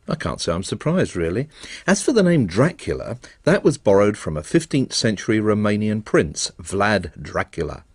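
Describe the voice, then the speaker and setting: A man's voice: fairly low pitch, very animated, normal speed, breathy edge, dry recording. Wry male radio documentary presenter. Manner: dry, wry understatement. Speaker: programme presenter. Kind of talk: scripted documentary narration.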